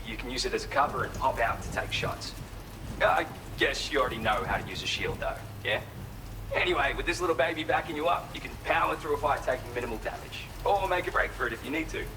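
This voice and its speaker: Actor as a chatty laid-back trader, rain behind. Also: male voice, medium pitch, lightly acted. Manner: casual, slangy, eager salesman patter. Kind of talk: video game cutscene acting. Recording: clear noise, rain behind the voice. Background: rain and ambient noise.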